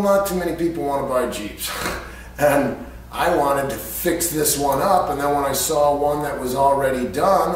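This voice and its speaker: An American man casually telling a personal anecdote in an animated, everyday storytelling way. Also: fairly high pitch. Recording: echoey room, distant mic, faint hum.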